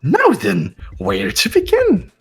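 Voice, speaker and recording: A cruel, gleeful ringmaster of a bear who mocks the students in a sing-song drawl. The voice leaps all over the scale with a husky, breathy edge. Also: male voice, high voice, huge pitch swings, slow, a bit bright, faint music behind, exaggerated voice. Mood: taunting. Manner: mocking, sing-song, taunting. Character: gleeful sadistic bear headmaster running a deadly trial. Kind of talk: fan voice acting of a game.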